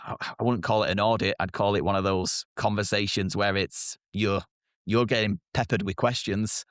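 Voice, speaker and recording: A man's voice: fairly low pitch, steady tone, normal speed, fairly bright tone, no echo, clean studio sound. A man with a British accent, a marketing agency co-founder, answering interview questions directly and confidently.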